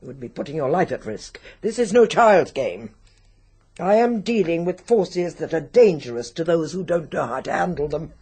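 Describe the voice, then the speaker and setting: Stern, husky occult priestess. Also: female voice, lowish voice, animated, warm tone. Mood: grave, commanding. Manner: stern, formal, deliberate. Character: solemn, commanding occult medium giving grave warnings. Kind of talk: British radio drama acting.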